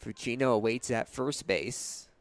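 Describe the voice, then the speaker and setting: A man's voice: mid-range voice, a little slow, no echo. A young man doing live radio play-by-play commentary of a baseball game as the announcer. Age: young adult.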